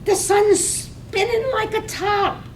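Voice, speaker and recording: A woman acts a scandal-loving village wife in a poetic radio play. Her voice is extremely high and unhurried. It comes from a distance in an echoey room with faint noise. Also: steady tone. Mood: entranced. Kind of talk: stage reading of poetic radio drama.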